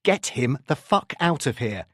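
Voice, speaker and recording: A narrator voicing a firm, authoritative male police detective sergeant with a brisk, commanding manner. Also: medium pitch, sing-song, no echo, studio quality, pitched above the performer's own voice. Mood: forceful ordering.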